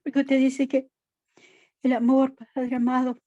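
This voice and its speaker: A woman's voice: high-pitched, little pitch movement, measured pace, mellow, no room sound, lo-fi sound. A woman leading a fervent spoken prayer, pleading and interceding, with an address to the Lord repeated between every phrase.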